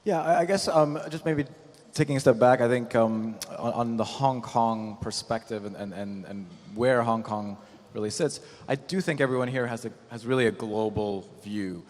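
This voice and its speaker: Guy talking on an investor panel, big echoey hall, explains stuff step by step, mid-pitched voice. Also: moderate pace, darkish tone. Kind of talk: panel discussion, explanatory talk. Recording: echoey hall with long reverb.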